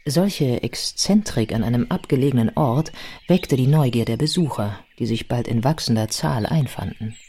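A woman's voice: very deep voice, expressive, normal speed, warm tone, slightly rough. A woman narrating a scripted biography as part of a radio play. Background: music.

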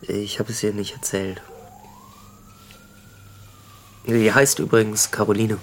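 A man's voice: fairly low pitch, flat delivery, normal speed, slight background noise, close to an everyday voice. An actor playing an earnest, awkward NGO man and troubled father in a radio drama, explaining things hesitantly. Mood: troubled, reflective. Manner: explaining, hesitant, searching for words. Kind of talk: naturalistic radio drama acting.

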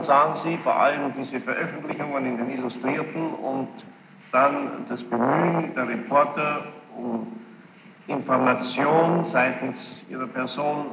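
A man questioning a witness as a judge in formal courtroom style, insistent and deliberate, repeating answers back. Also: medium pitch, gravelly. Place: large echoey courtroom, archive tape. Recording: muffled old tape, echoey hall, hiss.

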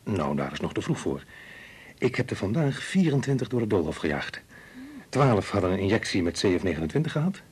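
An actor playing a brusque, absorbed research scientist in a Dutch radio play, with a dry manner. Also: male voice, fairly low pitch, sing-song, brisk, darkish tone, slightly rough, full character voice. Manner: dry, brusque, mutters figures to himself.

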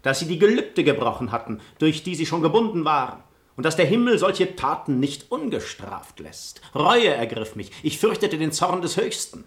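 An actor playing a cynical, falsely pious noble seducer in a classic radio play, eloquent and mock-solemn. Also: male voice, fairly high pitch, big pitch swings, moderate pace, fairly bright tone. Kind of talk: German radio play acting of classic comedy.

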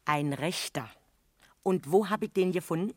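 An actress playing an excitable, talkative neighbourhood witness with a Berlin accent in a 1970s German radio crime drama. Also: female voice, lowish voice, moderate pace, dry recording, close to an everyday voice. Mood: eager, insistent. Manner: colloquial, garrulous, emphatic. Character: chatty, excitable female neighbourhood witness, eager amateur sleuth.

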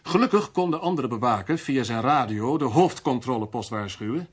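An actor playing a fat, domineering, irritable security official in a radio drama, curt and impatient. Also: male voice, medium pitch, animated, a little fast, slightly rough.

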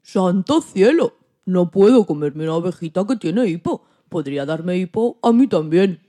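Woman playing a grumpy old wolf, mellow voiced. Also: fairly low pitch, animated, normal speed. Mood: exasperated. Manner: theatrical, exclamatory. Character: grumpy, hungry old male wolf with a soft heart. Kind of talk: children's story radio play.

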